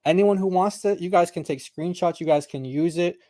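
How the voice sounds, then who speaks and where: Man, presenter, mellow warm tone, dry close sound, relaxed, laughing. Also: high voice, a little fast, no room sound. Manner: relaxed, laughs between points. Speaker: guest presenter. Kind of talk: casual religious debate chat.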